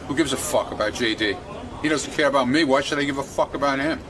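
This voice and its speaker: A man's voice: mid-range voice, quick pace. A man giving blunt, profane answers in a casual interview. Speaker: interviewed show staffer. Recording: noticeable background noise.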